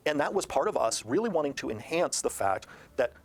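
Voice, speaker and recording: A man speaking as a game designer in an interview, explaining in detail with halting emphasis. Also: fairly high pitch, very animated.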